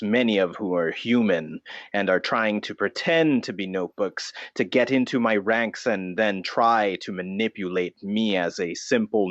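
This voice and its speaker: A low-pitched, pompous vigilante notebook in a bat disguise, played by a man for improvised podcast comedy. The delivery is grand and deliberate, with a ruthless streak. The sound is dry and slightly muffled. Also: no echo, close to an everyday voice. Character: vigilante non-human notebook dressed as a bat, self-important, ruthless. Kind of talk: improvised comedy interview in character.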